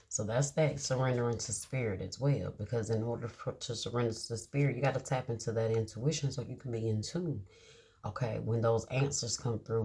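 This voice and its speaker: A woman giving a casual tarot reading to her viewers, reading card meanings aloud slowly and checking in with a reassuring "okay?". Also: rumbling low, darkish tone, husky. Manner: drawn-out, reassuring, many "okay?" check-ins. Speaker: tarot reader.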